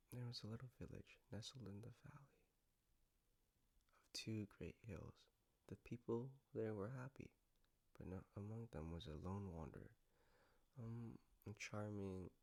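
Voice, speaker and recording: Guy playing a sweet caring boyfriend, lowish crackly voice, soft n intimate comfort audio, kinda playful. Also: creaky. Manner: tender, soft, halting, playful. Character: gentle, doting male partner soothing a lover after a nightmare.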